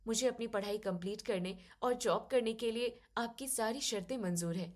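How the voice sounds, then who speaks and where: A woman playing an educated young daughter-in-law who is soft-spoken, polite and firm as she reasons in an audio story. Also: a little high, moderate pace, lightly acted. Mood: polite, determined.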